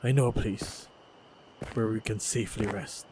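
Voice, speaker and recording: A man playing a calm, caring monster hunter in a fantasy audio roleplay, speaking in a measured, gentle way. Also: lowish voice, background noise, lightly acted. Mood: protective, reassuring. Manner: measured, deliberate, gentle storytelling delivery.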